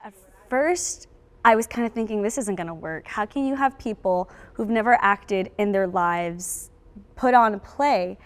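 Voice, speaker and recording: Young female interviewee, mid-pitched, earnest and emphatic, recorded with long room reverb. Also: a little slow, smooth. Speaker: high school drama cast member. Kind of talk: reflective interview answers.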